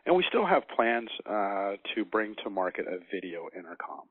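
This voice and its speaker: Low-voiced American man on a phone line. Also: big pitch swings, moderate pace, slightly rough, no echo. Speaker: sales and marketing manager being interviewed. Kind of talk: interview answers about products. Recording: narrow muffled phone line.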